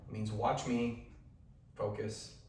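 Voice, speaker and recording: Male dog trainer, loud voice, chatty and instructive; distant mic in a boomy room with light hiss. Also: flat delivery, takes it slowly, heavy reverb. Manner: instructive, conversational, drops to soft commands to the dog. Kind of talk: hands-on training demonstration.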